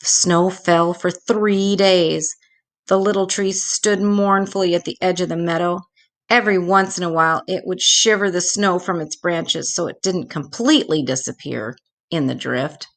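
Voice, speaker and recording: A woman reading a children's storybook aloud expressively, with dramatic pauses. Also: lowish voice.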